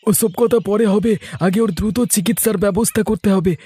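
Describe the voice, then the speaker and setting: A man playing a brisk, commanding older father and healer in an audio drama, with a husky voice. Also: high voice, fairly even intonation, a crowd in the background, natural voice. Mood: urgent, commanding. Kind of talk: Bengali audio drama acting.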